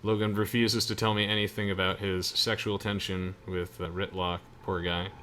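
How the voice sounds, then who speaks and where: Bright-voiced young man commentating on gameplay. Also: low voice, level tone, moderate pace, a little hiss or hum. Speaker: let's play host. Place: game voice chat with music behind.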